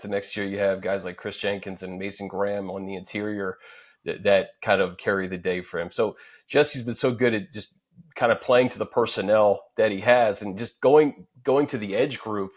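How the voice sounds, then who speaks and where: A man hosting a sports discussion conversationally, setting up questions for fellow panelists. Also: fairly low pitch. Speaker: sports talk host.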